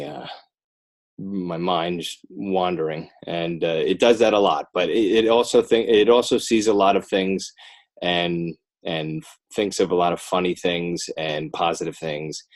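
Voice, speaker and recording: An American man talking casually as an interview guest, halting and thinking aloud with repeated false starts. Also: sits low. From northern New Jersey, United States. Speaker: interview guest, children's book author and illustrator.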